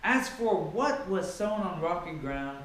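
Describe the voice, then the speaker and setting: A man's voice: high voice, expressive, a bit bright. Echoey, theatrical man reading scripture. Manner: theatrical, emphatic, with dramatic pauses. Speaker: Bible reader. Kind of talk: dramatic scripture reading with informal asides. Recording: very echoey room with faint noise.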